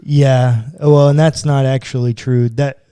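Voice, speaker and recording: A man's voice: medium pitch, little pitch movement, measured pace, dark tone, smooth, no echo. A man co-hosting a podcast as a pastor, chatting casually about the Bible with informal, slangy asides. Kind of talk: casual conversational Bible discussion.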